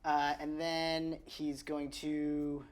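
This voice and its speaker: A man's voice: a little high, completely flat delivery, extremely slow. A man playing a tabletop roleplaying game, talking casually as he describes his character's moves.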